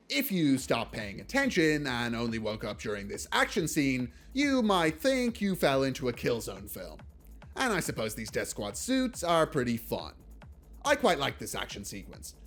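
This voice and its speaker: A man narrating a scripted video essay as a film reviewer, in a wry, casual, joking manner. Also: mid-range voice.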